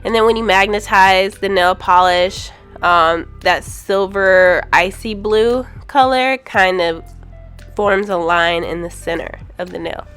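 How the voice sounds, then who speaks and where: A woman's voice: mid-range voice, slow pace, fairly bright tone, clean tone, faint music behind. A woman with a stuffy, congested voice from a cold, casually reviewing nail polish with frequent ums and candid opinions. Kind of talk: casual product review voice-over.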